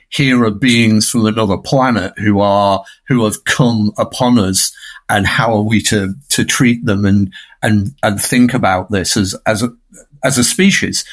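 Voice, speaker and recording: A man's voice: medium pitch, fairly bright tone. A man giving conversational film analysis in a discursive, emphatic way, often checking for agreement.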